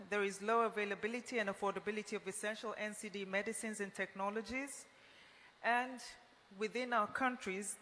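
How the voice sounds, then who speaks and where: A woman's voice: medium pitch, fairly bright tone. A woman moderating a formal roundtable, addressing a conference. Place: large indoor conference hall. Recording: echoey hall, noisy room ambience.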